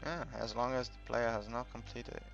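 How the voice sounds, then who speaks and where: A man giving casual, unscripted game commentary in a halting, rambling way, as if thinking aloud while he explains things. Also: medium pitch, fairly even intonation, creaky, a bit bright. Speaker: gaming video creator narrating a tutorial. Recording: dull lo-fi home recording, music behind.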